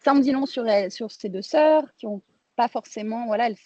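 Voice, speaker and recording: A woman from France answers as a film director in a relaxed, mellow voice. She reflects informally, rephrasing as she goes. The sound is dry and somewhat muffled. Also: fairly high pitch, fairly quick, no room sound. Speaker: film director being interviewed.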